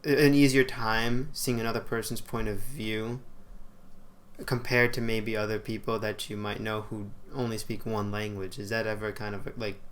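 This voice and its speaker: A man's voice: on the low side, level tone, normal speed, slight background noise. A man hosting an interview, asking casual questions that he rephrases as he goes, with plenty of fillers.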